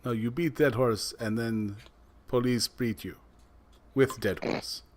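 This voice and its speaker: A man's voice: medium pitch, fairly even intonation, takes it slowly. Laid-back man running podcast tech. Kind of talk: casual group chat.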